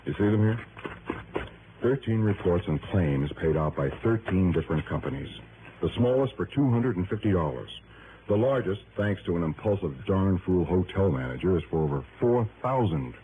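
An actor playing a casual, sharp insurance bureau manager of about forty in a 1960s radio drama, chatty and explanatory. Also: male voice, low-pitched, expressive, moderate pace. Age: middle-aged. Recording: noisy, muffled old radio recording.

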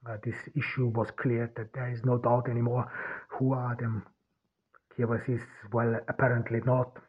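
A man whose first language is German speaks in a flat, husky voice, stopping and restarting his sentences over and over. His level tone barely rises or falls as he searches for words. The sound is thin and tinny, like a phone line. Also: mid-range voice. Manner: halting, many restarts and repeated words. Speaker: podcast host. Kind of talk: solo podcast monologue.

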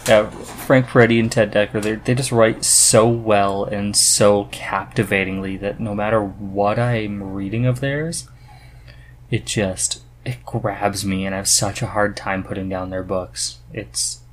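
A man hosting a podcast in casual chat, halting as he searches for words. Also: on the low side, steady tone, slight background noise. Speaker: podcast host.

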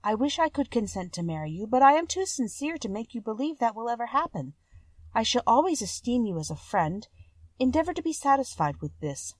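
A woman's voice: a little high, big pitch swings, brisk, dry recording, voice pushed up. A woman voicing a kind, timid young woman in a fairy tale, with an earnest, polite and formal manner. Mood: regretful, sincere. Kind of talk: storybook character voice.

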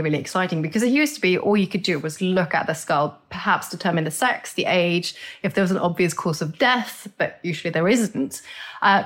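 A middle-aged woman from Norway, speaking as an expert guest in a conversational interview and explaining with lively enthusiasm. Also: fairly low pitch. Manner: enthusiastic, explanatory, repeats words.

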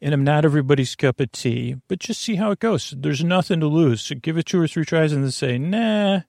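Creaky-voiced man rambling through a bedtime podcast. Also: a little high, animated, a little mellow, no echo, studio quality. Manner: meandering, halting, repeats and trails off mid-thought. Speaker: podcast host.

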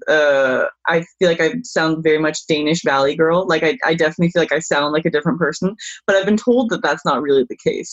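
Woman guest chatting, kinda muffled lo-fi sound, stumbly and laughy. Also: on the low side. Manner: lively storytelling, stumbles and restarts, laughs. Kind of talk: casual chat.

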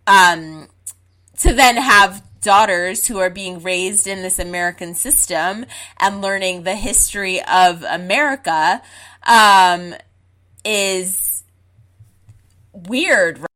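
A woman's voice: mid-range voice, slow, bright, forceful tone. Emphatic young woman born in Zimbabwe. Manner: emphatic, frank, repeats "like". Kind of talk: casual conversation.

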